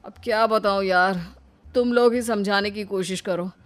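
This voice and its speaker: A man's voice: sits high, fairly even intonation, moderate pace, fairly bright tone. A man voicing blustering and put-upon male characters in a Hindi cartoon story. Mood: resigned, pleading. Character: bumbling street robber and a resigned young husband.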